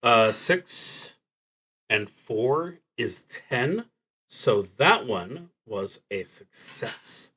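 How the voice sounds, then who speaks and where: Bright, forceful man narrating a solo game. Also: mid-range voice, sing-song, very slow, breathy edge, rough recording. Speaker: solo tabletop roleplaying streamer. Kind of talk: live play narration, thinking aloud.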